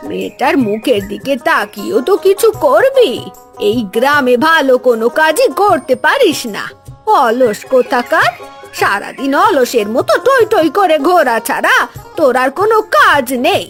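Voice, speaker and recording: A woman's voice: high voice, very animated, normal speed, a bit bright, soft background music. A woman playing a scolding old village woman. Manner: taunting, harsh scolding.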